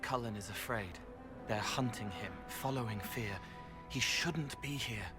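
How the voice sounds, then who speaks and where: An actor playing a strange, gentle, haunted young empath in a fantasy game, speaking in dreamy, riddling fragments. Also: male voice, medium pitch, measured pace, music in the background, natural voice. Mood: eerie, subdued. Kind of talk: fantasy video game dialogue acting.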